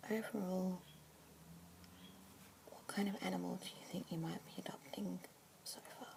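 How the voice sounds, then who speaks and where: Young Australian woman, soft close-mic ASMR whisper, low and gravelly, noisy hiss behind. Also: fairly even intonation. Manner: soft whispering, gentle and unhurried. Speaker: ASMR roleplayer as pet adoption consultant. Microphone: close sensitive ASMR mic.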